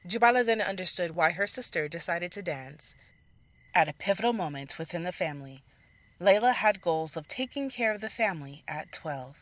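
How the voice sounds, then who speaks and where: A woman's voice: on the low side, lively intonation, moderate pace, fairly bright tone, no room sound. A woman reading scripted narration for a fantasy story as its narrator. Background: faint music.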